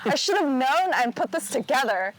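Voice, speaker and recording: Upbeat, high-voiced woman host. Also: big pitch swings, low-quality recording. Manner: upbeat, chatty, quick to react. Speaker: interviewer, host. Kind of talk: casual interview chat. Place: outdoors, walking along a city sidewalk.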